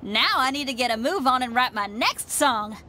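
High, lively young rocker girl for a game, slangy and casual. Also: moderate pace, background noise. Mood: eager. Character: brash young female rock musician, upbeat. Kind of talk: game voice acting. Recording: game audio with music behind.

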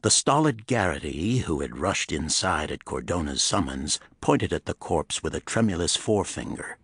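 Low, husky male thriller narrator. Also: sing-song, moderate pace, a bit bright, no echo, clean studio sound. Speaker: audiobook narrator. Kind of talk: dramatic pulp thriller narration.

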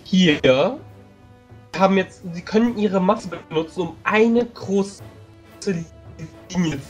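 A man's voice: sits high, takes it slowly, faint music behind. A man, a player in a tabletop strategy game, voicing objections hesitantly. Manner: hesitant, searching for words.

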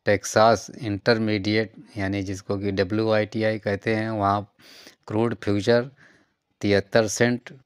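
A man's voice: on the low side, level tone, measured pace, smooth, no echo. A man narrating a stock market news vlog over slides, going through numbered reasons and calling the viewers friends.

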